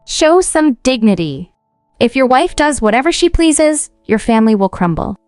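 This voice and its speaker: A woman's voice: sits high, very animated, moderate pace, a little mellow, no echo, faint music behind. A woman narrator voicing a stern, domineering older mother-in-law who scolds and commands in a dramatized story. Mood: stern, scolding.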